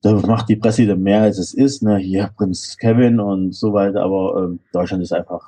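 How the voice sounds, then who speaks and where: A man's voice: sits low, fairly even intonation, moderate pace, dark tone, slightly rough. A man from Stuttgart, a guest on a podcast, chatting casually and jokingly about football and laughing often.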